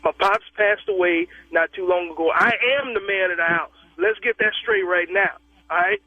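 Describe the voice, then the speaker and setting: High-voiced man on a tinny phone line. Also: moderate pace, dry recording. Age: 30s. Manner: protective, stammering repeats. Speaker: prank call target. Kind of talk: heated phone call.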